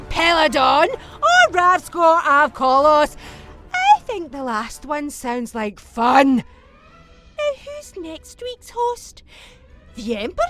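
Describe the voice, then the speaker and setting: A woman's voice: sits high, exaggerated voice. A woman playing a gleefully unhinged, vengeful Time Lord villainess, theatrical and flippant with turns of menace, in a scripted fan audio drama monologue.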